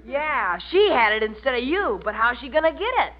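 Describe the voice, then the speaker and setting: High, cheeky young boy. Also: very animated, normal speed. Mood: eager, cheeky. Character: eager, cheeky young son. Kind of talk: 1940s radio sitcom acting. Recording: muffled old radio recording.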